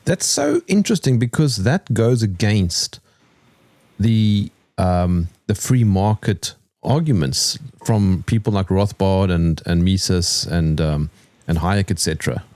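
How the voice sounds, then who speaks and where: Slow, low-voiced interview host. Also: male voice, expressive, a little mellow, no echo. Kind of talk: conversational interview.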